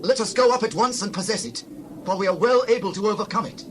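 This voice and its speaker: An actor playing a bold, confident Israelite scout, urgent and rousing in a dramatized scripture reading. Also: male voice, sits high, fast, natural voice. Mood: rousing urgency. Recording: loud ambient noise with birds.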